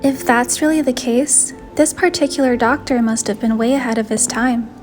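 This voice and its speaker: A woman's voice: fairly high pitch, moderate pace, music in the background, natural voice. A woman playing a shy, curious young heroine in a game story, speaking her dialogue and inner thoughts. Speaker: voice of the player heroine, fan narration. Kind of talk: acted visual novel dialogue and inner monologue.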